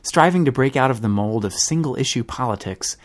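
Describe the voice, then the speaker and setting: A man's voice: mid-range voice, animated, brisk. A man delivering scripted narration as a podcast host.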